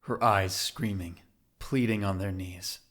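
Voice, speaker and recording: A man's voice: sits low, steady tone, takes it slowly, fairly bright tone. A man narrating a scripted audio drama in a dramatic storytelling style.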